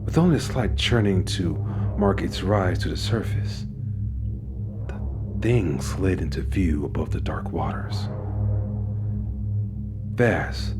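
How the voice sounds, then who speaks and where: A man giving a dramatic, halting first-person horror narration as a haunted, tormented war survivor. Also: deep voice, animated. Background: music.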